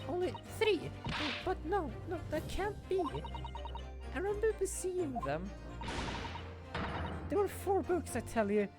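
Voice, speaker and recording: Shrill fussy older woman witness, high wavering falsetto, man doing voice, game read-through over music. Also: big pitch swings, takes it slowly, pauses often, heavily acted. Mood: indignant. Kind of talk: reading game dialogue aloud.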